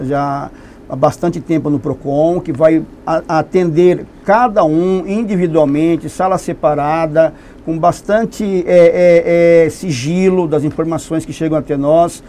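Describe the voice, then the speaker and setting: A man's voice: on the high side, steady tone, unhurried, warm tone, slight background noise. A man from a consumer protection agency, answering interview questions in a TV studio with explanatory, emphatic speech. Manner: explanatory, stresses key points.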